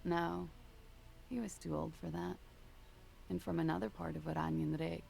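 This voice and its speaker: A woman's voice: fairly low pitch, fairly even intonation, normal speed, gravelly, a little mellow. A woman voicing a weary, guarded female smoker in a video game, speaking tersely. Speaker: game character.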